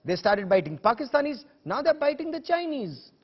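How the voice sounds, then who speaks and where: A man with an Indian accent moderating a TV news debate, pressing guests with emphatic, confrontational rhetorical questions in a husky voice. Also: very high voice, sing-song, normal speed. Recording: dull lo-fi broadcast audio.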